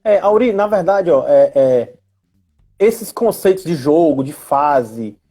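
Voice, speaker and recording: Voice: medium pitch, expressive, slow, dark tone, faint music behind. Brazilian guest explaining in a casual live chat. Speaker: guest expert on role-playing games in education.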